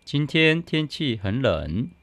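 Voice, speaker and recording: Female lesson reader, very deep register, dry close sound. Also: very animated, slow pace, dry recording. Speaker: Chinese translation responder. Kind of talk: scripted language-lesson reading.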